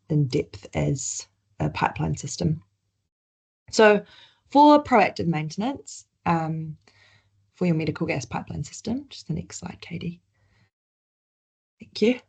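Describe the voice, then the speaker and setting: Kiwi woman talking through slides on a webinar, low voice, lots of ums. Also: creaky, a little mellow, breathy edge. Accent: New Zealand. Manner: conversational, with frequent ums and restarts. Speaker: webinar presenter.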